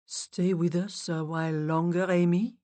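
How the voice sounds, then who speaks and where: A woman doing a soft-spoken, sympathetic medium. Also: steady tone, unhurried, close to an everyday voice. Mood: pleading. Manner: low, soft, full of sympathy. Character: sympathetic female spirit medium, low and soft.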